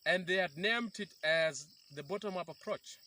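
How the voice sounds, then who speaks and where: Earnest man, fairly high voice, outdoors. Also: moderate pace, sharp tone, slight background noise. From South Sudan. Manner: earnest, explanatory, with frequent restarts. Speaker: peace activist guest. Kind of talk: interview. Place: outdoors by a river in rural bushland.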